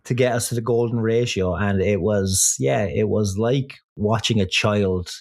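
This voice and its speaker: A woman's voice: a bass voice, a little slow, a little mellow. A woman co-hosting a podcast, teasing and sardonic, drifting off on tangents. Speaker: podcast co-host. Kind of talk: casual chat.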